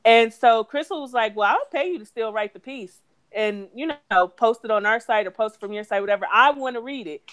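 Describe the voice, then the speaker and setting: A woman's voice: on the high side, a little fast, fairly bright tone, dry recording. A woman in her thirties co-hosting a podcast, talking bluntly and profanely in casual chat. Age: 30s. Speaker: podcast co-host.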